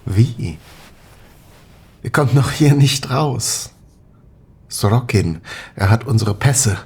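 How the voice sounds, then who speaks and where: Man acting slow, husky, worried scientist. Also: medium pitch, animated, a little mellow, exaggerated voice. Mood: wary, resigned. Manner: slow, hesitant, questioning. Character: weary, worried Soviet scientist, a cautious male lover. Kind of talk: German audio drama acting.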